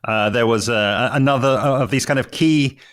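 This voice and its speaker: A man's voice: mid-range voice, normal speed, a bit bright. Encouraging male podcast host. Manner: encouraging, affirming. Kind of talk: conversational interview.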